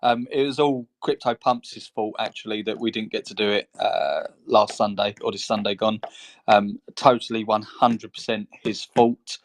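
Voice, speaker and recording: A man's voice: lowish voice, steady tone, moderate pace. A man co-hosting a podcast in casual chat, talking in a rambling, chatty way and laughing between phrases. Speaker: co-host.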